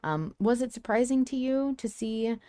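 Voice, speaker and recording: A woman's voice: fairly high pitch, expressive, moderate pace, mellow, no echo, clean studio sound. A woman hosting a podcast in a casual, conversational discussion style. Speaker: podcast host.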